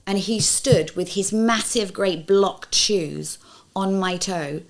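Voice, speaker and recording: A pantomime actress telling lively stage anecdotes in an interview, teasing and playful. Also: female voice, medium pitch, slow pace, creaky, background noise. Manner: lively, teasing, laughs through stories.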